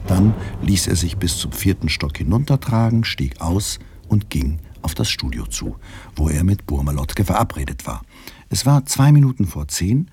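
A man with a very husky voice narrating a literary radio play in a measured, ironic storytelling manner. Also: sits low, darkish tone. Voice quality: very husky, gravel-edged.

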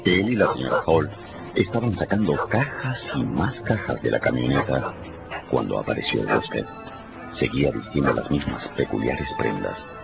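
A man's voice: extremely low pitch, expressive, normal speed, gravelly. A man narrating a radio novel in a dramatic, scripted storytelling style. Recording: muffled radio production with music underneath.

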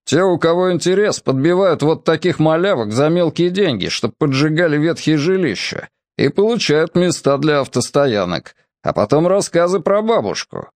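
A man voicing a gruff, domineering middle-aged police captain who talks sternly. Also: fairly high pitch, very animated, normal speed, hardly any pauses, no room sound, studio quality, voice pushed up. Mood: menacing.

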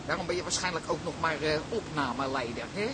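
A woman's voice: deep voice, fast talker, background noise, natural voice. An actress playing a vain, self-important older woman in a Dutch radio play, pompous, fussy and easily indignant. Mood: indignant, haughty.

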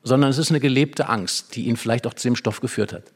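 A man's voice: mid-range voice, fast. A man speaking as an expert guest in a conversation about literature, explaining in long, winding sentences. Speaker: expert guest discussing a play.

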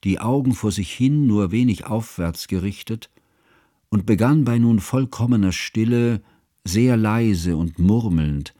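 A man playing an earnest, fussy scholarly friend, narrating a literary radio drama in a measured, formal way. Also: lowish voice, mellow, dry recording, studio quality.

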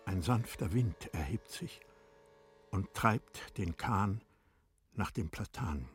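A man narrating a literary radio drama in a solemn, deliberate way, sometimes dropping to a hush. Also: animated, soft background music. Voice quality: low, husky. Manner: solemn, deliberate, hushed at times.

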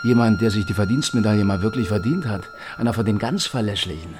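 A man's voice: on the low side, lively intonation, fast, natural voice. An actor playing a cruel, taunting male officer in a radio drama, with sarcastic mockery. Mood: mocking. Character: sneering male Stasi-style officer, cruel and mocking.

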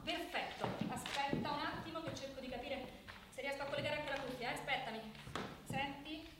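An actress playing a brisk, controlling television journalist in a radio drama, businesslike and polite but firm. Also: female voice, high voice, steady tone, lightly acted. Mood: hurried, organizing. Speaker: actor in a radio play. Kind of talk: naturalistic radio drama, TV news presenting. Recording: echoey room, distant mic, faint noise.

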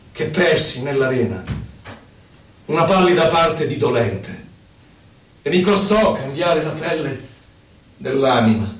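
A man gives a solemn, theatrical poetry recital, declaiming in short phrases with pauses between them. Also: a little high. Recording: echoey, distant, noisy live radio broadcast.

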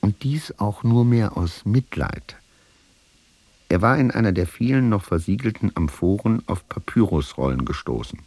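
An actor playing a sober narrator in a radio play, giving a measured, matter-of-fact literary account. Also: male voice, lowish voice, expressive, darkish tone, breathy edge, no room sound. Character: sober male narrator recounting an archaeologist's research.

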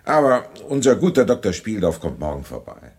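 An actor playing a genteel, fussy, middle-aged general and father in a German radio drama, courtly and husky. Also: on the low side, brisk, heavily acted. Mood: genial reassurance. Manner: courtly, animated.

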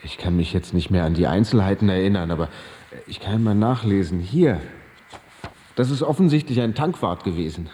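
A laconic death-row killer with a romantic streak in a radio drama. His voice is slightly mellow and carries a long reverb. He speaks in an understated, matter-of-fact and wry manner. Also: low-pitched, expressive, normal speed, slight background noise. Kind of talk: German radio drama acting.

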